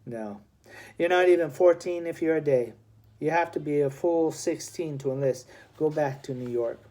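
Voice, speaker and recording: Army major for a storybook, read by a man: authoritative, stern yet softening, clipped questions, mellow timbre. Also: on the high side, normal speed, lightly acted. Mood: firm. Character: heavy-faced frowning army major, stern but softening.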